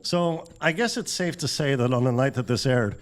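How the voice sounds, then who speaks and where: A man's voice: medium pitch, normal speed. A man co-hosting a comedy podcast, telling stories in a wry way and acting out imagined reactions. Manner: wry, acts out imagined quotes. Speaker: podcast co-host telling the story. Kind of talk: comedic storytelling commentary.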